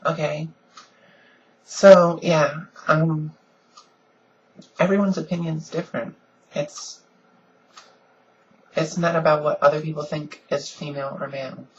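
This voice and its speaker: A woman with a deep voice she softens a little, talking earnestly and casually in a home vlog with lots of ums. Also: steady tone, a little slow, pauses often, lo-fi sound. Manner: frequent "um"s, earnest and conversational. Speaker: vlogger. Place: at home, in pajamas.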